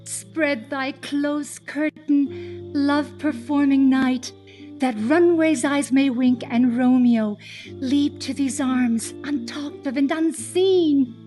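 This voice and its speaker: A woman performing a theatrical Shakespearean monologue as a lovestruck, impatient young heroine, proud and bold, with declamatory, drawn-out phrasing. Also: high voice, fairly even intonation, mellow, soft background music. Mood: yearning, ardent.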